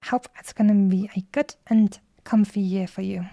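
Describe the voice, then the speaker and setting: Mellow, creaky-voiced woman streaming casually. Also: mid-range voice, steady tone, moderate pace, no echo, clean studio sound. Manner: musing, playful, rambling asides. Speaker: streamer playing a video game. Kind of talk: casual livestream chat and commentary.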